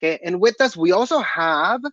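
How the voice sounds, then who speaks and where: A man's voice: sits high, expressive, fairly quick, fairly bright tone, no echo, lo-fi sound. A man co-hosting an online developer event over a video call, friendly, with a frequent "okay" and "absolutely". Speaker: co-host. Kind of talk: event hosting, housekeeping announcements.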